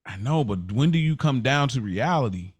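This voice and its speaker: An American man hosting a casual podcast discussion, telling stories in an animated way, acting out quotes and repeating phrases for emphasis. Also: medium pitch, moderate pace, slightly rough, no room sound. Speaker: podcast host.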